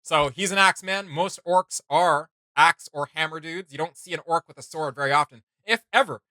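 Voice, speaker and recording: A man's voice: a little high, normal speed, pushed and bright, no echo. A man hosting a reaction video, thinking aloud in casual, rambling commentary.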